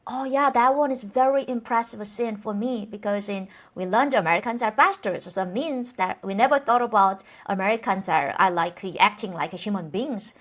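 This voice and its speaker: A woman's voice: medium pitch, fairly bright tone. Woman speaking careful Korean-accented English. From North Korea. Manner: careful, halting English with frequent restarts. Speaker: host. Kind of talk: conversational interview.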